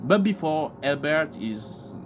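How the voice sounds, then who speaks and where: A man's voice: fairly high pitch, animated, slow, background noise. A man hosting casual video commentary, informal and joking, with plenty of slang. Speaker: host of reaction commentary videos. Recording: loud music behind the voice. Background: loud music.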